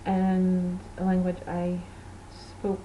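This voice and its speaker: A woman's voice: on the low side, completely flat delivery, takes it slowly, lo-fi sound, slight background noise. A woman from Portugal, a native Portuguese speaker, hosting a knitting podcast in rambling, self-correcting casual chat.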